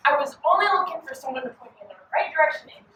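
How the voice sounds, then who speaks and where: An echoey, distant recording of a very high-voiced shopper played by a woman. She is folksy, chatty and relentlessly polite, a cheerful pest in a comic play. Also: animated, rapid-fire, fairly bright tone, a little hiss or hum. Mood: cheerfully insistent. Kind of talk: stage comedy acting.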